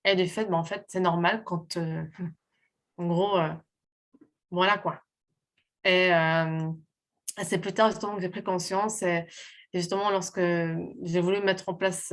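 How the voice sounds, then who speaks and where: A woman from Portugal with a slightly low voice tells her own story, halting and searching for words. She sounds sincere and unhurried about getting each thought out. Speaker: guest. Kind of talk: personal testimony in conversation.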